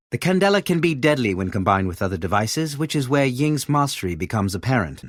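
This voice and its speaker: A man playing a measured, articulate special-operations director in a video game, giving formal analytical assessments of operatives. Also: mid-range voice, fairly bright tone, dry recording, studio quality. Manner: formal, erudite, measured delivery. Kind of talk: scripted video game voice acting, analytical briefing.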